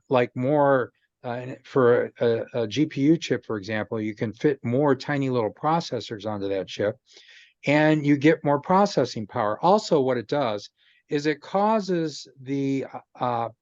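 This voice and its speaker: A man of ordinary pitch talks slowly through a technical point, pausing with fillers and restarting his sentences. His voice comes over a dry, dull-sounding video call with no room echo. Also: darkish tone. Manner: patient, explanatory, many fillers and restarts. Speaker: guest explaining chip technology. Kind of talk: casual chat on a video call. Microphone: video call microphone.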